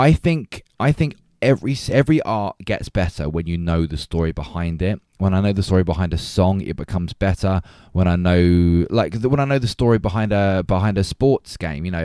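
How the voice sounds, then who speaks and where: A man with a deep, mellow voice hosts a casual film podcast. He speaks reflectively, often repeating a phrase before finishing the thought. The sound is close and dry. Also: smooth, no room sound. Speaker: podcast host.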